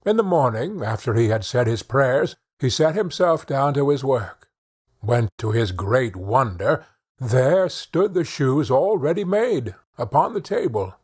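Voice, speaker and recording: A man reads a children's fairy tale as an audiobook narrator. His voice moves up and down expressively. It has a slightly husky grain, and his delivery is measured storytelling. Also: mid-range voice, lively intonation, a little mellow.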